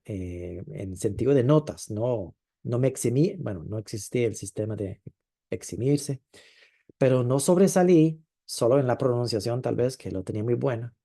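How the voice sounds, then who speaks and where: Male preacher, warm dark tone, reflective delivery with pauses and drawn-out emphasis. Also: mid-range voice. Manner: thoughtful, with frequent hesitations and drawn-out stresses. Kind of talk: sermon.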